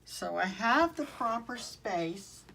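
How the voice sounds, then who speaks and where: A woman's voice: on the high side, lively intonation, slow, gravelly, a bit bright, a little hiss or hum, poor audio. A woman with a Southern American accent, chatting with viewers during a live craft tutorial and answering their comments as she works. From southern United States. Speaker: craft demonstrator.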